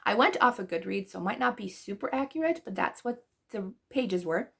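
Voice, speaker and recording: A woman's voice: mid-range voice, animated, a little fast, gravelly, a bit bright, slightly rough. A woman book vlogger losing her voice, chatting casually through her monthly reading wrap-up with rambling asides and small laughs.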